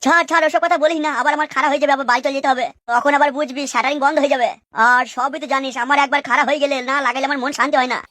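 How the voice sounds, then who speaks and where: A woman's voice: high voice, steady tone, very fast, few pauses, a bit bright, no echo. A woman playing a crude, grumbling young male labourer in an amateur cartoon dub. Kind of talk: amateur Bengali cartoon dubbing.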